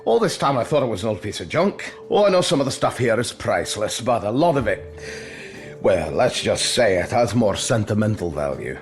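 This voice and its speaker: A genial old tavern keeper in an audio drama. His delivery is theatrical and folksy, with pitch that rises and dips freely. He teases and chats heartily over a bed of music. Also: big pitch swings, a little fast. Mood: hearty, welcoming. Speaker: barkeep. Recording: music under the dialogue.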